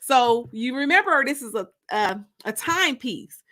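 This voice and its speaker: A woman's voice: sits high, animated, a little slow, fairly bright tone. A woman hosting a casual livestream, chatting informally and gossipily with viewers and repeating phrases for emphasis. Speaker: host of a live-streamed story time.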